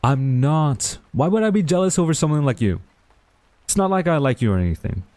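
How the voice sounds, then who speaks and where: A man's voice: fairly high pitch, big pitch swings, dark tone, no room sound. A man acting a young university student in a romantic audio roleplay, a defensive, secretly smitten rival who grumbles and gets flustered. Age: young adult. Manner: flustered, grumbling, halting denials.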